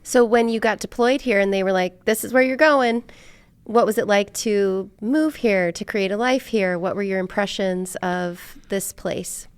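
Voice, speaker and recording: A woman's voice: mid-range voice, unhurried, smooth. A woman hosting a podcast, talking casually and asking questions in a conversational interview. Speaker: podcast host.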